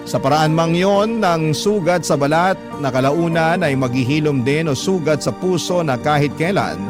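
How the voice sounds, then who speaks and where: A Filipino man hosts a radio love-story program, reading letters aloud in a rather high voice. His delivery is earnest and sentimental, like scripted drama. Music plays clearly behind him. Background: music bed.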